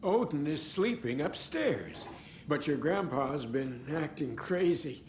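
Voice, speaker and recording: A man playing an eccentric, mischievous old care-home resident in a game, talking excitably. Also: a little high, takes it slowly, echoey room, slight background noise. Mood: gossipy.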